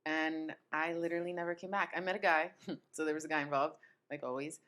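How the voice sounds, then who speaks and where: A woman who grew up in Italy and Tampa, a guest on a podcast, telling her story softly and laughing easily. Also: low-pitched, steady tone, fast talker. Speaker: podcast guest, food tour business owner. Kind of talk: casual interview storytelling.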